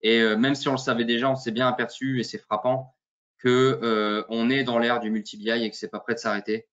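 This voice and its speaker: A man's voice: medium pitch, level tone, brisk, a bit bright. A man hosting a webinar, chatty and joking, with many fillers, heard over a video call. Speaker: webinar host. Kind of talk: casual webinar presentation. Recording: dull lo-fi call audio.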